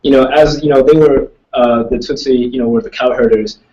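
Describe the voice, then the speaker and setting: A man's voice: medium pitch, fairly even intonation, a little fast, mellow, recorded from a distance, highs cut off. A young man, a student, answering audience questions in casual talk, thoughtful and rambling, with many restarts. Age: young adult. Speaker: student speaker.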